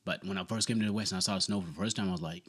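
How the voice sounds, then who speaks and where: Fast-talking teasing young man. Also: deep voice, steady tone, breathy edge. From Sudan and Ethiopia. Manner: joking, teasing, streetwise slang, drops quiet for stories. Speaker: podcast co-host. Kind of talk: casual chat.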